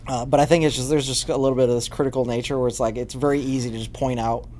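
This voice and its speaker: A man's voice: medium pitch, fairly even intonation, rapid-fire, a little mellow. Pastor guy about forty, just chatting, telling stories, little bit of background noise. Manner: conversational, storytelling, self-deprecating. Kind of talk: casual chat.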